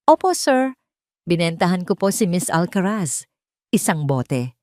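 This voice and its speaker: A woman's voice: on the low side, very animated, a little slow, darkish tone, no echo. Nervous male informant, read by a woman, Filipino accent, deferential confession, audio drama, dry recording.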